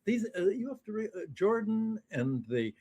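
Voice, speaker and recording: Male interview guest, slow halting delivery, slightly high pitch, off-the-cuff commentary. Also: big pitch swings, dark tone. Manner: halting, hesitant. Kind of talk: spontaneous commentary.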